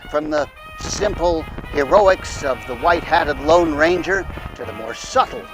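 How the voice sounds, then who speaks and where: A man's voice: on the high side, a little slow, music in the background, poor audio. A man hosting a radio show, giving a scripted introduction. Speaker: host.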